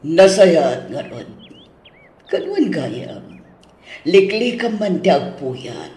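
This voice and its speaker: A man playing a fussy, nagging older mother-in-law in an Ilocano radio drama, with an Ilocano accent and drawn-out, chiding delivery. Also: high-pitched, big pitch swings, a little mellow, exaggerated voice. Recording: roomy, echoing studio drama sound.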